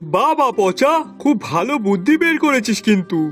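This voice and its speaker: A man as a very high-voiced, bossy cartoon master. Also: expressive, normal speed. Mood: curious, approving. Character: bossy but kindly master advising his servant. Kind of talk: cartoon story dialogue. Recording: music behind the voice.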